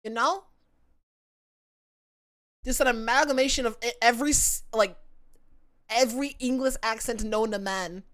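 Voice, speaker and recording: A woman's voice: a little high, moderate pace, long pauses, a bit bright. A young adult woman with a Southern American accent mixed with internet influences, chatting casually as a livestreamer in a playful, giggly, self-mocking way from her home setup. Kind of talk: casual stream chat.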